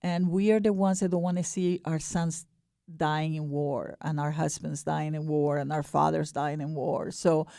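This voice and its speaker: A mature woman with an Ecuadorian Spanish accent, speaking earnestly and haltingly as a guest in a radio interview. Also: low voice, steady tone, gravelly, mellow, smooth, no room sound, studio quality. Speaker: guest, board director of a peace nonprofit.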